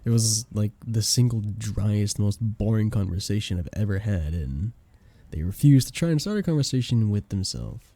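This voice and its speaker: A man acting as an affectionate young male best friend in an intimate ASMR roleplay. His voice is low and very dark and soft, with a gravelly edge. He chats casually and teases in an expressive, playful way. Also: normal speed, dry recording. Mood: tired, venting. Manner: teasing, expressive, giggly.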